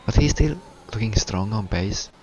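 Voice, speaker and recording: Low-voiced Finnish man stumbling through commentary. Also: animated, darkish tone, slight background noise, poor audio. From Finland. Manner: halting, stuttering delivery with frequent restarts. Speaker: race commentator. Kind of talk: live sim racing commentary.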